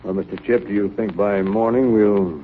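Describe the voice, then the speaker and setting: Man playing a reserved naval captain, lowish voice, clipped, controlled, tinny old radio drama. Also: fairly even intonation, normal speed, slight background noise, natural voice. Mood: stern, questioning. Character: resolute, reserved naval captain of a polar ship. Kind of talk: 1930s radio acting. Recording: tinny 1930s radio recording.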